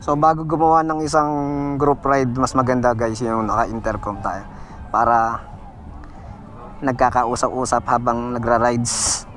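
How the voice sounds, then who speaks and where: A man's voice: medium pitch, steady tone, a little slow, fairly bright tone. A Filipino man hosting a casual vlog, chatting and giving simple how-to instructions. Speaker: vlog host. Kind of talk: casual vlog chat and tutorial.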